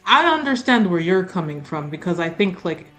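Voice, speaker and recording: A woman's voice: fairly low pitch, very animated, moderate pace, a bit bright, soft background music. A woman chatting casually and explaining things emphatically as a player in a tabletop roleplaying game.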